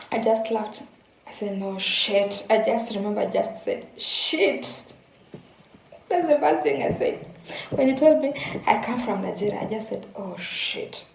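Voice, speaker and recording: A woman's voice: fairly high pitch, creaky, a little mellow, echoey room, slight background noise, poor audio. A young woman from Kenya casually telling a story to her viewers, repeating words and speaking to them directly. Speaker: vlogger.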